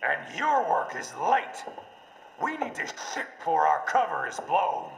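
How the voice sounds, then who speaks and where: A man playing a gruff, demanding criminal associate in a video game, with a gravelly voice. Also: a little high, very animated, measured pace, fairly bright tone, echoey room, in a large hall, slight background noise, heavily acted, rough recording. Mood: impatient. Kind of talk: dramatic video game voice acting.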